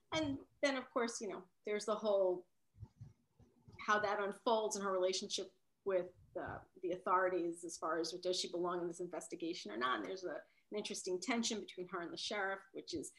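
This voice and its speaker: A woman's voice: mid-range voice, fairly quick, fairly bright tone, low-quality recording. A woman novelist whose first language is Italian, talking casually in an interview, often trailing off and rephrasing.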